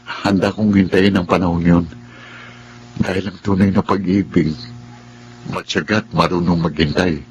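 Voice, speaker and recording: An actor playing a sincere, patient young suitor in a radio drama, with a Filipino accent. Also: male voice, deep voice, steady tone, normal speed, pauses often, a little hiss or hum, lightly acted, poor audio. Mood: tender, hopeful.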